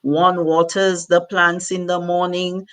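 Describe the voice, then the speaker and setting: Low-pitched woman speaking conversationally. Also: flat delivery, slow pace, fairly bright tone. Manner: conversational, uses 'you know' often. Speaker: summit speaker.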